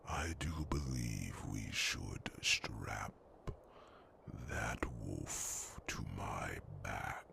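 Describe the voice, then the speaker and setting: A man's voice: animated, background noise, full character voice. A man voicing a huge ancient dragon in an ASMR fantasy roleplay, a brooding, fond protector who speaks formally in a growling whisper with pensive hums. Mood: proud, amused. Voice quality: deep gravelly growl, breathy whispering. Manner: slow, ponderous, formal phrasing with pensive hums.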